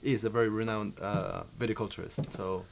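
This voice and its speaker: Young Argentine winemaker, measured and hesitant. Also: male voice, lowish voice, steady tone, gravelly, background noise. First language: Spanish. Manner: measured, with hesitant fillers and restarts. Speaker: winemaker guest. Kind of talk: interview answers.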